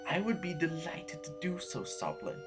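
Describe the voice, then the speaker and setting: A pompous nobleman of royal blood, florid and obsequious, in an audio drama. His pitch swoops in animated fashion over faint music. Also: normal speed. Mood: fawning, delighted. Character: pompous, flattering male aristocrat of royal line. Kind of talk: theatrical audio drama acting.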